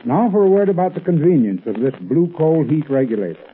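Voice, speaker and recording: An American man with a husky voice, delivering a scripted 1930s radio advertisement as a company expert. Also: a little high, sing-song, fast, slight background noise. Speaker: company heating expert giving a sponsor talk. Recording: tinny 1930s radio recording.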